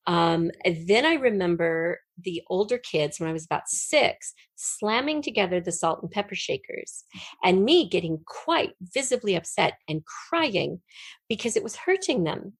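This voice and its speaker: Female interview guest, middle-aged, slightly low voice, dry close sound, expressive personal storytelling. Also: moderate pace, husky, no echo. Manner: expressive storyteller, acts out remembered dialogue.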